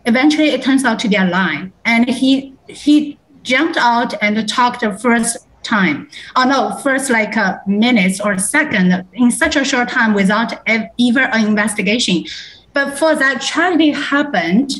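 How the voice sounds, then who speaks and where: A woman's voice: fairly high pitch, animated, unhurried, a bit bright, low-quality recording. A woman from China in a focus group, speaking hesitantly with many fillers. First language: Chinese.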